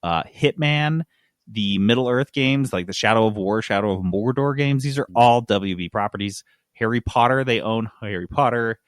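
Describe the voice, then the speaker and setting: A man's voice: fairly low pitch, normal speed, no room sound, clean studio sound. An American man hosting a casual gaming news chat, sardonic and prone to sweary outbursts. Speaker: podcast host.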